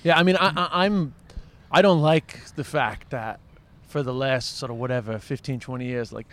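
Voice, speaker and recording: A man hosting a casual interview, crude and joking, throwing in quick asides. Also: mid-range voice, fairly bright tone. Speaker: podcast host interviewing a comedian. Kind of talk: casual chat.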